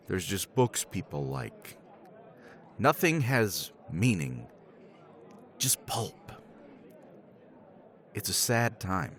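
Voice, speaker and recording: Low, slow-talking wry professor man. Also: fairly bright tone. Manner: wry, teasing, self-deprecating; swears casually, laughs often. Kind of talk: ASMR romance roleplay.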